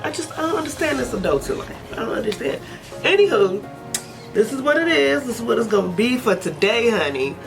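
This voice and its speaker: A woman's voice: a little high, big pitch swings, brisk, gravelly, music in the background. A woman giving a casual hair tutorial, chatty and joking, sniffly with a head cold. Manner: chatty, joking, talks to herself. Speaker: hair vlogger.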